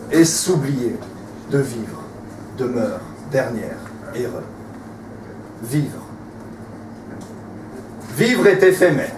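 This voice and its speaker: A man reciting slam poetry at a live evening, with declamatory, deliberate phrasing and pauses. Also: fairly high pitch, darkish tone. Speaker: slam poet. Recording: roomy, distant mic, faint noise.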